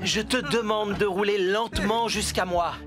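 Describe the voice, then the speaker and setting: A fairly high-pitched male builder boss played by a man in a children's cartoon. He is kindly and firm, giving clear, patient instructions over background music. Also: normal speed, natural voice. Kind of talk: French-dubbed children's cartoon acting. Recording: music behind the voice.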